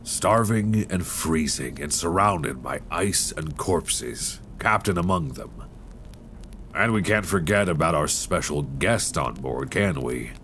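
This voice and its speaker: Horror audio roleplay: bottom-register male actor as weary polar survivor, unhurried, intimate, close binaural mic. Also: slight background noise, exaggerated voice. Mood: grim, resigned. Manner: sighing, low-key, addressing one listener as friend. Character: weary, grim male survivor on icebound expedition ship. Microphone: close binaural microphone. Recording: close binaural with steady storm-like ambience.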